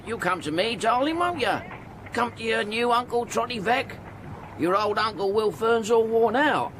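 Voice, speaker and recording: A man's voice: very high-pitched, animated, moderate pace, fairly bright tone, a little hiss or hum. An actor playing a kindly, poor old ticket porter in a radio drama, stammering and repeating himself as he fusses. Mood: eager, coaxing. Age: older.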